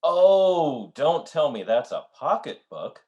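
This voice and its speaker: A man's voice: on the high side, big pitch swings, takes it slowly, dark tone, highs cut off. A man playing a dry, cynical, teasing husband in an old-time radio comedy, with a wry, grumbling delivery. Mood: distracted.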